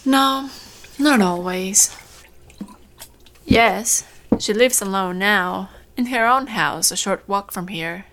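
A woman's voice: medium pitch, slow pace, fairly bright tone, a little hiss or hum. An actress playing a kindly, middle-aged, motherly farm woman in a naturalistic audio drama. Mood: soft, sorrowful.

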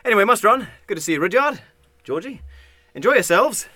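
An actor playing a cheerful, charming undertaker in an audio sitcom, breezy and affable. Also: male voice, high-pitched, very animated, fairly quick, bright, forceful tone, full character voice. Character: smooth, cheerful, charming male rival undertaker.

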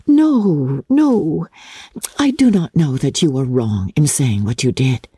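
Woman acting a tender young English gentlewoman, soft, earnest, easily distressed, swooping pitch, breathy, storybook drama. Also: animated, slow, dry recording, heavily acted. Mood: tender reassurance. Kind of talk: dramatic classic novel reading.

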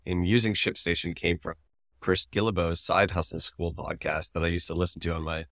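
A man's voice: low-pitched, steady tone, a little fast, fairly bright tone, no room sound, clean studio sound. A man co-hosting a podcast, talking casually and thoughtfully as he weighs up his opinions. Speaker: podcast co-host. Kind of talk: casual discussion and review of ads.

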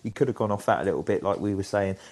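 A man's voice: lowish voice, rapid-fire, darkish tone. An enthusiastic man rambling through casual football chat as a podcast co-host.

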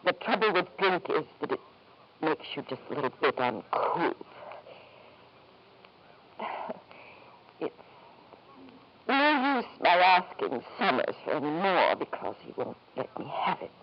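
An actress playing a middle-aged wife, drunk, bitter and grieving, speaking with mock formality and wandering pauses in a 1950s radio drama. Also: female voice, on the low side, sing-song. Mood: tipsy, mocking. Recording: dull, faintly noisy vintage radio recording.

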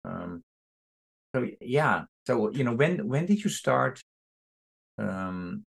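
A man hosting a podcast interview, asking halting questions full of restarts and fillers. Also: mid-range voice, sing-song, gravelly, husky. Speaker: podcast host.

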